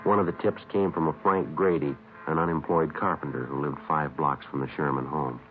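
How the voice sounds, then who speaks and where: A very low-voiced police detective in a vintage radio drama. He speaks in clipped, deadpan, official phrases. The sound is tinny and narrow with faint noise. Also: fairly quick. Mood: flat, official. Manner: clipped, matter-of-fact, deadpan. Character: terse, businesslike male police sergeant and narrator. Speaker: lead detective and narrator. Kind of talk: 1950s radio drama acting, clipped procedural narration. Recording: tinny, faintly noisy old radio recording.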